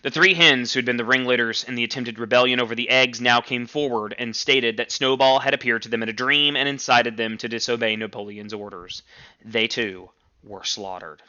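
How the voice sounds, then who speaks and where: A man reading a novel aloud like a teacher, with emphatic, expressive storytelling. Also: medium pitch, fairly quick, lo-fi sound. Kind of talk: dramatic novel read-aloud.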